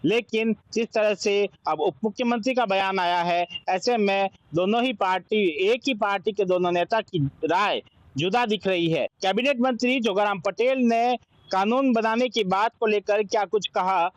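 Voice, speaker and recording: Male TV news reporter, Indian accent, notably high voice, scripted narration in a dry, close studio sound. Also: normal speed, no echo, poor audio.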